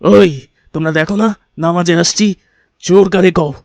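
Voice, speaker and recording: A man's voice: high voice, fairly even intonation, normal speed, creaky, no echo. A man voicing a poor old cartoon villager, a petty thief with rustic, colloquial Bangladeshi Bengali and a plaintive manner. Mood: defensive, indignant. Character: poor old man, shoe thief in pious dress, defensive then pleading. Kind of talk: cartoon voice acting.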